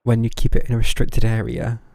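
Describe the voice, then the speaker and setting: A man's voice: medium pitch, monotone, a little fast, creaky, a little mellow. A man doing casual live gameplay commentary as a streamer, with game music behind him.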